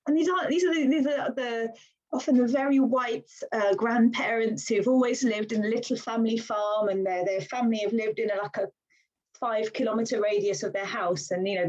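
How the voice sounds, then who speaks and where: A British woman, a village councillor, talking in an animated, earnest way as a guest in a casual podcast conversation. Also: fairly high pitch, a little fast. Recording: slightly dull lo-fi sound.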